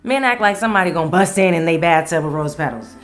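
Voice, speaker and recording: A woman's voice: lowish voice, expressive, fast, soft background music. A woman hosting a solo podcast in a casual, candid, playful way, swearing freely. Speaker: podcast host.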